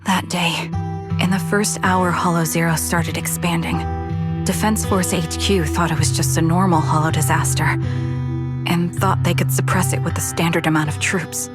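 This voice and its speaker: A woman voicing a haunted young soldier in a video game, somber, measured and confessional as she grieves. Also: lowish voice, fairly even intonation, over loud music, close to an everyday voice. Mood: somber, recounting. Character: haunted young female soldier, grieving her lost squad.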